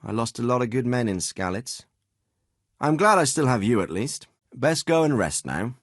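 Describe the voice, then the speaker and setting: An actor playing a middle-aged noble lord and commander in a video game, with a measured, formal and paternal manner. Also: male voice, mid-range voice, sing-song, no echo, studio quality. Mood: fatherly, approving. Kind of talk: acted video game dialogue.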